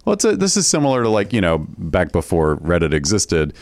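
A man's voice: low-pitched, huge pitch swings, brisk, no echo. A man chatting casually as a podcast co-host in a wry, informal way.

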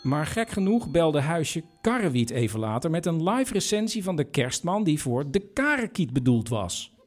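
A man's voice: on the high side, sing-song, normal speed. A man narrating and acting in a comic audio play before a theatre audience. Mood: storytelling. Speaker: narrator and actor. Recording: music behind, live theatre stage.

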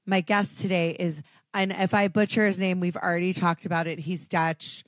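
Hoarse low-voiced American woman host. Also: flat delivery, moderate pace, no echo. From Washington, D.C. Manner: chatty, self-deprecating, frequent ums. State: hoarse, raspy voice. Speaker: podcast host. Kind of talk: casual chat.

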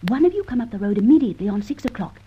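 A woman's voice: fairly high pitch, lively intonation, very fast, dark tone, a little hiss or hum, natural voice. An actress playing a plucky young captive lady, game for anything, in an old radio adventure. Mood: eager. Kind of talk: old radio drama acting. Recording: muffled old radio recording.

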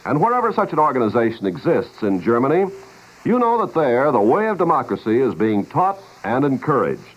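A male 1950s radio announcer reads a scripted public-service piece in a medium-pitched voice. His intonation swings widely, and the vintage broadcast sounds dull and muffled. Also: sing-song, fairly quick.

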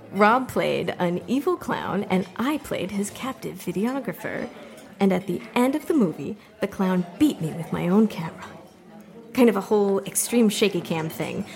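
A woman's voice: medium pitch, vocal fry, a little mellow. An actress playing a wry, fame-hungry young repairwoman in an audio drama, telling rambling, self-mocking stories in a conversational way. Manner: conversational, digressive, drily self-mocking, salty-tongued. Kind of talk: comedic confessional monologue in an audio drama.

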